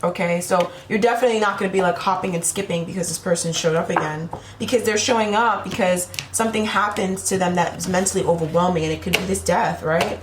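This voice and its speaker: A woman's voice: fairly low pitch, steady tone, fast, few pauses, background noise. A woman giving a casual tarot reading to her viewers, talking in a rambling way with frequent "right?" asides while losing her voice. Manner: rambling, repeats "right?" and "you know?" to the viewer. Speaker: tarot reader. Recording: echoey room with faint ambience.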